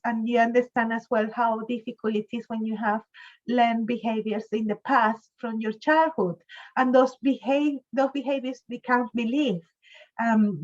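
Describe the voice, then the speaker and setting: A woman in her fifties hosting a conversational interview, halting and often repeating her words. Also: on the high side, lo-fi sound. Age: 50s. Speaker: host, interviewer.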